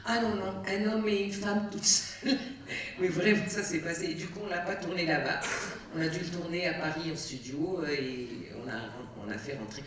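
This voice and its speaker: A woman's voice: fairly low pitch, moderate pace, distant mic, noisy background. A woman, a film director chatting with an audience after a screening, telling stories with many fillers and restarts. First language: Arabic. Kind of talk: casual talk to an audience. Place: cinema auditorium. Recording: echoey cinema hall, heard from afar.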